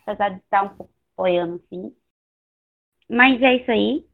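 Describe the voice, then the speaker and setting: A hoarse woman with a Northeastern Brazilian accent co-hosting a casual book podcast, blunt, slangy and given to swearing. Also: mid-range voice, normal speed. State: hoarse from two days of partying. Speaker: podcast co-host. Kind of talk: casual chat about books. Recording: tinny phone-like line.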